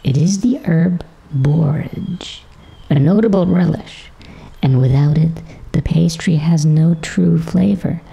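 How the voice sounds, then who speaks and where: A smug princely gourmet from a fairy tale, put on by a man reading aloud. The voice sits high and soft in tone. He talks with smooth condescension, fussy and self-satisfied. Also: slow, exaggerated voice, poor audio. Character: smug, fastidious visiting prince, connoisseur of food.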